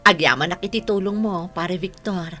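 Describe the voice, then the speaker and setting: A woman's voice: lowish voice, moderate pace, sharp tone. A woman playing a beleaguered married mother who sells food in a village, in Filipino-accented radio drama. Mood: modest, hesitant.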